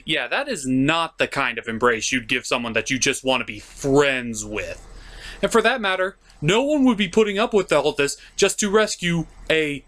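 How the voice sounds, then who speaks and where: A man's voice: fairly high pitch, expressive, normal speed, a bit bright. A man hosting a comedic cartoon review, recapping the plot in a sarcastic, mock-dramatic and profane manner. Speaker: cartoon reviewer, show host.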